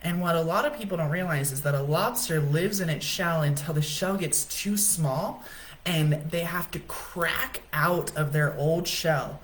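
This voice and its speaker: A woman host giving a casual spiritual coaching talk on a livestream, chatty and often checking in with "right?", in her own low voice. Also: a little slow, a little hiss or hum.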